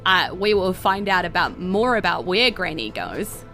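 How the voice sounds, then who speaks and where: A woman's voice: on the low side, big pitch swings, moderate pace, pushed and bright, faint music behind. A woman with a New Zealand accent, narrating a tabletop role-playing game in a casual, enthusiastic, improvised way as game master and host.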